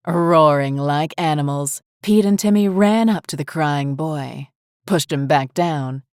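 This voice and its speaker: A woman narrating a scripted horror story in a measured, deliberate way. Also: low voice, a little mellow, dry recording, clean studio sound.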